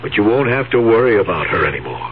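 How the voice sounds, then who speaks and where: A practical, dutiful nephew who has grown weary. His voice sits fairly low, in the style of seventies radio drama. The sound is narrow and tinny, with some hum and noise. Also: sing-song, fast. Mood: firm, consoling. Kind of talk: 1970s radio drama acting. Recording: tinny, humming 1970s radio broadcast.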